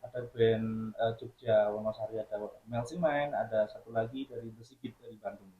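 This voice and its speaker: A man's voice: medium pitch, moderate pace. Laid-back man in a roomy studio. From Central Java, Indonesia. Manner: relaxed, laughs often, chatty storytelling. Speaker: band member guest. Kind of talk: casual chat. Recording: slightly roomy, muffled radio studio sound.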